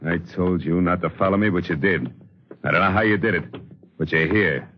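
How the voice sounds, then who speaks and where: A man's voice: deep voice, fairly quick, natural voice. An actor playing a menacing, curt gangster with a gun, talking in clipped American tough-guy slang in 1940s radio thriller style. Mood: cold menace. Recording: muffled, noisy 1940s radio recording.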